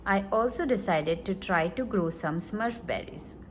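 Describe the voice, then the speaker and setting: Woman, children's read-aloud, expressive delivery, very varied pitch, slow deliberate pacing. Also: slight background noise. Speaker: storybook reader.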